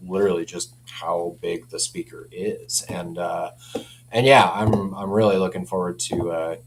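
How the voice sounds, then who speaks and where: A man speaking as a company representative in a casual product chat, informal and hedging, quick to chuckle. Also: low-pitched, steady tone, breathy edge, poor audio.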